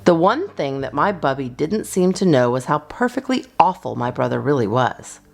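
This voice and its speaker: A woman reading a children's storybook in an expressive, deliberate narrating style. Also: low-pitched, creaky. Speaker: storyteller, children's channel host.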